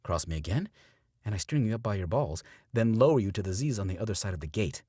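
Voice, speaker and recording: A man voicing a tough woman survivor who stays eerily calm before turning menacing. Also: mid-range voice, normal speed, husky, dry recording, clean studio sound, voice pushed up. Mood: threatening.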